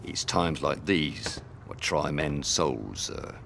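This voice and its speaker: An actor playing a weary, solemn, rambling old soldier in a video game, deferential and philosophical. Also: male voice, animated, takes it slowly, vocal fry, fairly bright tone, exaggerated voice. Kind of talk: video game cutscene acting. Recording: noisy ambience behind low voice.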